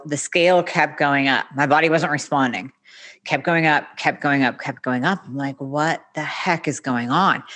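A woman in her forties, a podcast guest, telling her own story candidly in a casual interview and acting out what others said. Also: low-pitched. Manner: candid, animated, acts out quoted speech.

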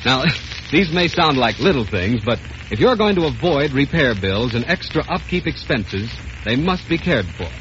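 A man's voice: medium pitch, big pitch swings, normal speed, noisy background. An American man announcing in scripted 1930s radio sponsor style. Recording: muffled old radio broadcast with music.

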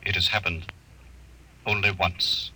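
A man playing a calm, all-knowing talking computer in old radio drama, formal, measured and mechanical, with a husky, hard edge. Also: deep voice, full character voice, low-quality recording. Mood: measured, factual. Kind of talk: old-time radio acting.

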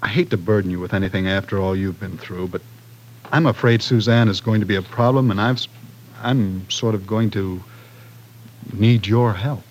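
Man playing a gentle, protective brother. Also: fairly low pitch, lightly acted, rough recording. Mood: worried. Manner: gentle, halting, conversational. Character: easygoing, protective, concerned older-brother type. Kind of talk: old-time radio drama acting. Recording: vintage radio broadcast.

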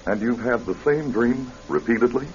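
An actor in a radio drama playing a male psychiatrist, questioning a patient in a measured, professional manner. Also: mid-range voice, lightly acted. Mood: calmly probing. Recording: dull old radio recording with music.

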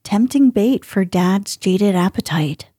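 A woman's voice: medium pitch, expressive, slow, mellow, slightly rough, no room sound, studio quality. A woman voicing a cheeky, mischievous teenage girl who talks in a playful, teasing way.

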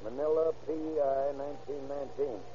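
An actor playing a determined police captain, giving brisk orders in 1940s radio style on a tinny old recording with hiss. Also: male voice, mid-range voice, natural voice. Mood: puzzled. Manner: brisk, commanding. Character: tough determined police captain, adult man.

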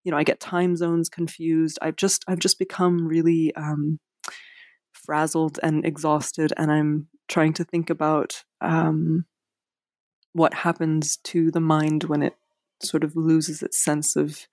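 A woman's voice: deep voice, little pitch movement, slow, a little mellow, no room sound, clean studio sound. A woman author speaking in an interview, hesitant, with many fillers and restarts. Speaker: author guest. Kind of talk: casual interview.

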